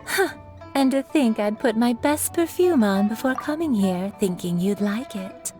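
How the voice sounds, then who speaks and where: A woman playing a wistful, coquettish, genteel townswoman in a video game, musing in a drawn-out way. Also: fairly high pitch, animated, darkish tone. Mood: wistful resignation. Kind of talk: video game character acting. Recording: background music throughout.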